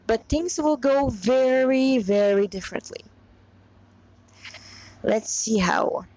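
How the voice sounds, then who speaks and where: Slow-paced woman, dull lo-fi recording. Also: on the high side, animated, dark tone. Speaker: fan fiction author and reader. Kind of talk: casual chat and informal story reading.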